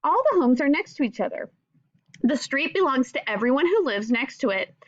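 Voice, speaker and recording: High, animated woman reading to children. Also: a little fast, no room sound, muffled recording. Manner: addresses young listeners directly with simple questions. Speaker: teacher reading a picture book aloud. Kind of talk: children's read-aloud with questions and asides.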